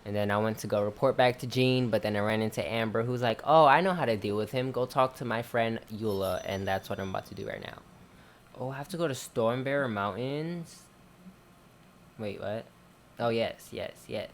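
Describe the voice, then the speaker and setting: A man's voice: medium pitch, moderate pace, a little hiss or hum. A young man giving informal, rambling commentary as he streams a video game. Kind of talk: casual gameplay commentary.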